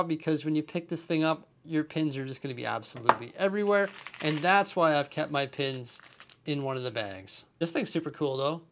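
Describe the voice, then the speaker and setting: A young man reviewing a building set, enthusiastic but nitpicky, explaining details as he handles the pieces. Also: a little high. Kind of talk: hands-on product review.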